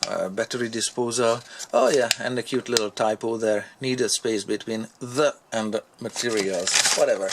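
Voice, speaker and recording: A man giving a casual hands-on product review in a halting way, thinking aloud as he goes. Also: medium pitch. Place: indoor workshop at a workbench. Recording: clear background noise, handling clicks.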